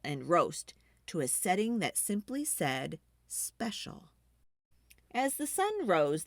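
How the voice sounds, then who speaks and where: A woman reading a children's book aloud expressively, with dramatic emphasis and drawn-out key words. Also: on the low side, no room sound, clean studio sound. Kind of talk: expressive storytime read-aloud.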